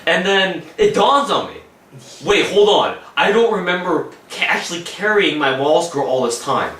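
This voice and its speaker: A man's voice: high-pitched, big pitch swings, normal speed, fairly bright tone, recorded from a distance. A young man casually recounting a convention day, rambling with many fillers and swearing. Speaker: vlog co-host recounting a convention. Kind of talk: casual chat. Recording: roomy, from off mic, faint background.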